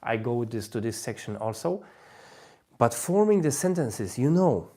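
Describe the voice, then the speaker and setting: A man from Poland, a native Polish speaker, giving an informal livestream talk with a Polish accent, halting and often rephrasing himself. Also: medium pitch, very animated, dark tone. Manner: halting, repeats and rephrases phrases. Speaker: host, language learner giving advice.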